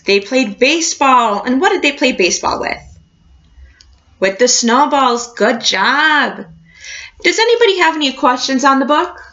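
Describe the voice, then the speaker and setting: A woman reading a picture book aloud like a teacher, expressive and encouraging, addressing young children. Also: sits high, measured pace, dull audio. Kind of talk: storybook read-aloud with questions to a class.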